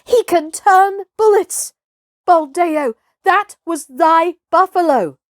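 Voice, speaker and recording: Woman as shrill, shouting angry villager. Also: expressive, slow pace, dry recording, studio quality, full character voice. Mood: alarmed. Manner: shouting, accusing. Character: angry village mob, shrieking accusers.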